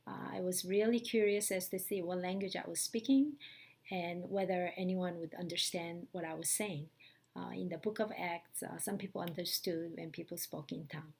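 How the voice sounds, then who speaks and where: Gravelly middle-aged woman. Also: on the low side, steady tone, moderate pace, a little mellow. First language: Korean. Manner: hesitant, earnest, many fillers. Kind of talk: personal testimony.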